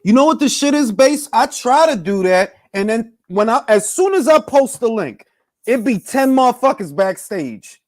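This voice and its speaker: Profane, animated New streamer. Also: male voice, extremely high pitch, moderate pace, no room sound, studio quality. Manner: loose, profane, animated banter. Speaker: boxing talk stream host. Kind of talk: casual live-stream chat with viewers.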